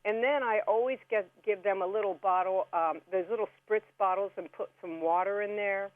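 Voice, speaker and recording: A woman's voice: mid-range voice, normal speed, no echo. Folksy lady explaining a how-to on the radio, sounds like she phoned in, thin dry line. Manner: chatty, folksy, instructive. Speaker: radio guest giving gardening tips. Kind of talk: casual step-by-step how-to chat. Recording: band-limited phone-like radio call.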